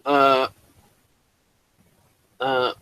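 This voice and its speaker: A man's voice: mid-range voice, completely flat delivery, very slow, sharp tone, clear, resonant tone, no room sound, low-quality recording. A man hosting a casual review discussion, speaking in a hesitant, rambling and apologetic way. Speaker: host of a TV review show.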